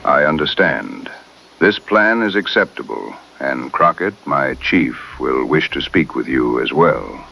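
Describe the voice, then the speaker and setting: Very deep, gravelly man playing a solemn brave. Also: expressive, sharp tone, soft background music, full character voice. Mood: solemn, guarded. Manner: formal, measured, terse speech. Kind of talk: audio drama acting.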